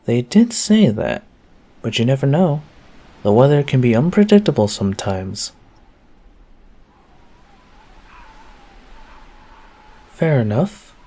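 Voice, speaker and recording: A man's voice: medium pitch, very animated, normal speed, dark tone. A man playing an affectionate, easygoing boyfriend in an intimate roleplay audio, talking tenderly and casually straight to the listener. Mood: relaxed, reassuring. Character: affectionate easygoing boyfriend home from work on a snowy night.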